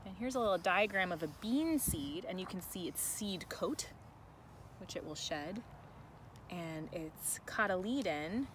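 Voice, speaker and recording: A woman reading a picture book to children, expressive and deliberate, with chatty asides. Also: on the low side. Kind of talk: read-aloud with chatty asides. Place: outdoors in a sunny farm garden. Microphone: camera held by another person nearby. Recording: outdoor ambient noise.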